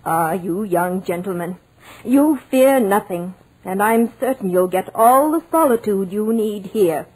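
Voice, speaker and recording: A fussy little housekeeper in a vintage radio play. Her voice is husky and middle-pitched, and she chatters deferentially with superstitious foreboding. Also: lively intonation, measured pace, darkish tone, rough recording. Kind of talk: 1940s radio drama acting.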